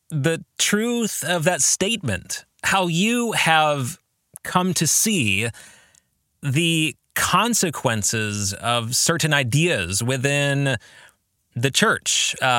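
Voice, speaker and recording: A middle-aged man hosting a reflective book podcast, speaking deliberately with thoughtful pauses and putting questions to listeners. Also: on the high side, sharp tone, no echo, studio quality. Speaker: podcast host and author discussing his own novel.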